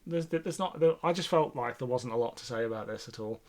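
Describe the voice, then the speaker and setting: A man's voice: medium pitch, fairly quick, a little mellow. A man co-hosting a podcast in casual conversation, informal and thinking aloud as he goes. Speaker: podcast host. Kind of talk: casual discussion.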